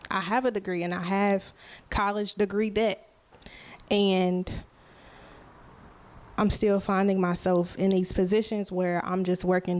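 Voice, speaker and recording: A woman's voice: mid-range voice, a little mellow. A woman in her twenties hosting a solo podcast, talking casually and openly to her listeners, often checking in with "right?". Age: 20s. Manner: slow, unhurried, conversational, often asking "right?". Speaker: podcast host and musician.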